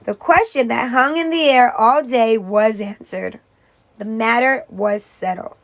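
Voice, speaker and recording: High-pitched woman reading slowly to children. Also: vocal fry. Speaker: teacher reading aloud. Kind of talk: children's story read-aloud.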